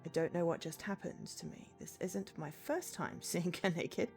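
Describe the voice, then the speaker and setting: A woman streaming a game live, with a slightly low voice and very animated intonation. She reads the text aloud and interrupts herself with exasperated asides. Faint music plays behind her. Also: moderate pace, creaky.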